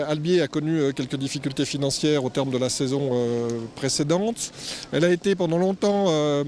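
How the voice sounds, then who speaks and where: A man with a slightly high voice explains things formally, like a manager being interviewed. His delivery is measured, and there is faint outdoor noise behind him. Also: darkish tone. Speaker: ski area manager.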